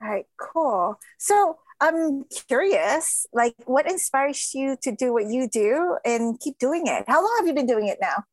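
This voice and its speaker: A woman's voice: high-pitched, very animated, measured pace, hardly any pauses, no echo. A woman hosting a live podcast interview, bubbly and emphatic with lots of fillers. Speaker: podcast host.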